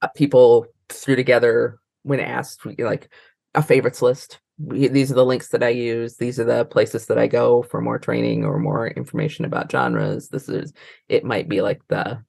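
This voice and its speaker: Creaky-voiced American woman, rambling as she explains. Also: rumbling low, normal speed, a little mellow, dry recording, studio quality. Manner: rambling, trailing off mid-thought. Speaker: interview guest, librarian and trainer. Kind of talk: casual interview talk.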